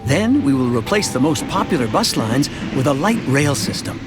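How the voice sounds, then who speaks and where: A man narrating an animated short as a contented townsman, telling a scripted first-person story in a conversational storytelling manner. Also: medium pitch, moderate pace, close to an everyday voice. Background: music.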